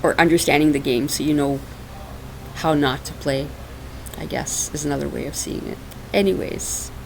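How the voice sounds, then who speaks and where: A woman's voice: low-pitched, fairly even intonation, moderate pace, vocal fry. A woman hosting a spiritual podcast in a casual, chatty way, laughing often and using lots of fillers, recorded on a laptop microphone at home. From Portugal. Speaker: podcast host and minister. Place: dark hot room at home. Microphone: laptop microphone on her lap. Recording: raw home laptop recording with faint hiss.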